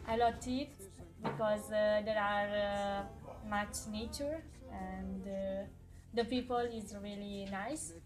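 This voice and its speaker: Slow, hesitant teenage girl. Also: medium pitch, steady tone, poor audio. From Italy. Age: late teens. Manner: hesitant, many fillers. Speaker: youth exchange participant. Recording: loud music behind.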